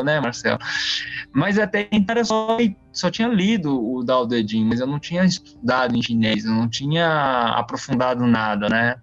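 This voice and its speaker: A man's voice: fairly high pitch, no echo. A man from Brazil, a guest telling his story in a casual, rambling way that often doubles back. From born in Taiwan, raised in São Paulo, Brazil from age five. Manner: rambling, self-interrupting, seeking agreement. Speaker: interview guest. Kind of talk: casual autobiographical talk. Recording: dull lo-fi sound with music behind. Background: faint music.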